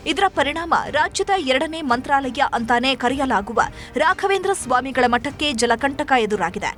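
A woman's voice: high voice, animated, normal speed, fairly bright tone. A woman narrating scripted news in an emphatic, dramatic way. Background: music bed.

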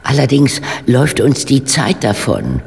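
An actress playing a resolute, proper elderly lady detective in a radio play, with a low, gravelly voice and a stern, composed, formal manner. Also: big pitch swings, unhurried, a little mellow, exaggerated voice. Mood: probing, firm. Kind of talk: German radio play acting. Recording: reverberant hall, faint background noise.

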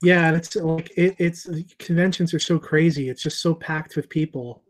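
A man's voice: a little high, fairly even intonation, normal speed. A middle-aged man hosting a casual interview over a video call. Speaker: host. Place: indoor room at home or shop, on a video call.

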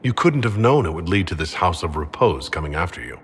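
A decisive heroic leader. His very low voice is blunt and animated, over game ambience. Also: brisk, slight background noise. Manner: direct, commanding. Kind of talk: video game dialogue acting.

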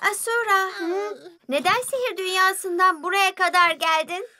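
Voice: extremely high pitch, normal speed, sharp tone, heavily acted. An actor voicing a friendly, earnest child-like little bus in a dubbed children's cartoon.

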